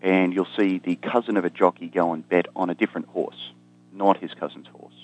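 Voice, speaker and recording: A man's voice: low-pitched, fairly even intonation, a little fast, darkish tone, low-quality recording. An Australian man, an economist guest, explaining ideas in conversation with dry humor and frequent ums. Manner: dry self-deprecating humor, many ums and restarts.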